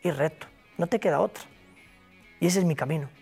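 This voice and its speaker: A man's voice: fairly high pitch, fairly quick, gravelly, a little mellow, slightly rough, soft background music. A man with a Galician Spanish accent, a guest in an interview, speaking emphatically and repeating himself in a motivational way. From Galicia, Spain. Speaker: interview guest, broker company founder. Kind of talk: interview conversation.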